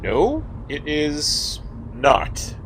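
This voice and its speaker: An actor playing a grumpy, reluctant man in a radio comedy. He is curt and grudging, with a lively swing in pitch over faint background noise. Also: drawn-out words, exaggerated voice. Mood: grumpy, impatient. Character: grumpy, terse, reluctant male straight man. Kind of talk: comedic radio play dialogue.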